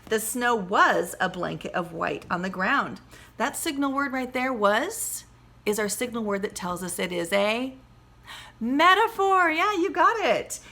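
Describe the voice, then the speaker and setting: Bright-voiced woman teaching young children. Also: on the high side, lively intonation, measured pace. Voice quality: slightly scratchy. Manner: explains simply, addressing young children. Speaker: second-grade teacher. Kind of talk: teaching a lesson and reading a picture book aloud. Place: at home.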